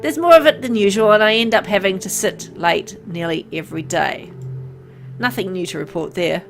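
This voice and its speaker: Caring, stubborn young woman, acted by a female reader, brisk and affectionate, visual novel, music underneath. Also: mid-range voice, gravelly, natural voice. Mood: worried. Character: caring, stubborn young woman visiting her sick partner.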